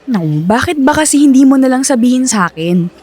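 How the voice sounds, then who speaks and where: High-voiced, anxious young girlfriend. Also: lively intonation, fairly quick, darkish tone, slightly rough. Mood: nervous, pleading. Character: young woman, anxious, doubting but trusting girlfriend. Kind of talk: Filipino radio drama acting.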